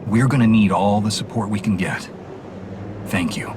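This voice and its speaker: An actor playing a noble, reassuring knight commander in a video game, courteous and steady. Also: male voice, fairly low pitch, moderate pace, heavy background noise, lightly acted. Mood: resolute. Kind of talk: video game cutscene acting.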